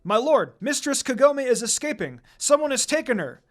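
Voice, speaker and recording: A frightened, obedient servant in a fantasy story, acted out by a male narrator. The voice jumps very high and loud, crying out in alarm to the master. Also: very animated, normal speed. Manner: crying out loudly. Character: panicked, obedient servant of a dark lord.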